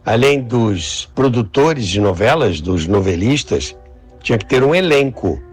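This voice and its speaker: A man's voice: mid-range voice, lively intonation, unhurried, soft background music. An older man with a Brazilian accent, explaining and reminiscing in an interview.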